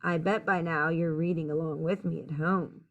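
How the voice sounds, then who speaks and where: A woman teacher reading a picture book aloud to young children in an expressive, sing-song, encouraging way. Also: sits low, normal speed, dull audio. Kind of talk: children's story read-aloud, addressing young listeners.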